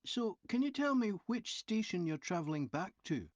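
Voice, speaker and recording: An actor playing a polite train company representative asking survey questions in a scripted listening-test dialogue, clearly enunciated. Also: mid-range voice, very animated, normal speed, no echo, highs cut off, natural voice. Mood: polite, inquiring.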